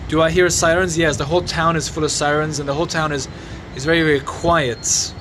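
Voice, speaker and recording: A man livestreaming from his phone outdoors at night, answering viewers' questions in a halting, sombre way with many restarts. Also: on the high side, steady tone. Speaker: livestreamer reporting a fire. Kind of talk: live phone stream, answering viewer comments. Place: outdoors in a city compound at night. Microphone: phone mic. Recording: noisy outdoor phone stream.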